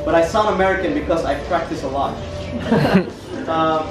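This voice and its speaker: A man's voice: a little high, normal speed, music in the background, low-quality recording. A young man in his twenties from Malaysia, leading a tour group outdoors in a playful, joking way, calling the group "family". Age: 20s. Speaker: tour guide. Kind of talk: guided tour talk. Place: outdoor cultural park with food trucks. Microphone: camera a few steps away.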